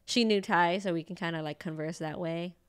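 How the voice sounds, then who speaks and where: A woman talks loosely on a podcast. She rambles along, full of fillers and small laughs, in a smooth, resonant voice pitched on the low side. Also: steady tone, brisk. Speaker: acupuncturist podcast co-host. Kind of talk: casual chat.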